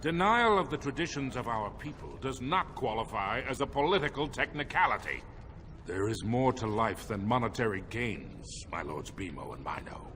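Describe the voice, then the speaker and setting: A man's voice: mid-range voice, big pitch swings, moderate pace, slight background noise. An actor playing a proud, aging dwarf king in a fantasy game, with a formal, commanding way of speaking. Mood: stern. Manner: formal, commanding, grand oratory. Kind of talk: fantasy game cutscene acting. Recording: loud background music.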